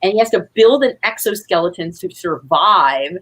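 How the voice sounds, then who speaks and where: A woman's voice: lowish voice, lively intonation, normal speed, fairly bright tone, slightly rough. A woman guest chatting casually in an informal, gushing, rambling way. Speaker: guest, playwright and audio drama creator. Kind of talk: casual chat.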